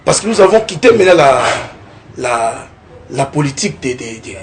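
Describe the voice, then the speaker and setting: A young man from Congo's Kongo Central region makes his case on a television interview set. His voice sits a little high and swings up sharply when he makes a point, and he keeps checking in with the listener. A faint murmur of ambience stays under him. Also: moderate pace, a little hiss or hum. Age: young adult. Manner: animated, keeps adding "n'est-ce pas?", rising tone on points. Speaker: political candidate, guest.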